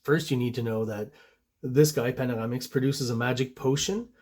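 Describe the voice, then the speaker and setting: A man from Quebec, a native French speaker, hosting a relaxed comic book review with rambling asides and lots of fillers. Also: mid-range voice, normal speed. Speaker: host and comic artist.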